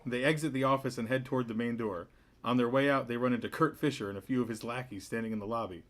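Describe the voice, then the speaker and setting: Mid-pitched man reading stage directions. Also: steady tone, fast talker. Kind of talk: scripted narration at a table read.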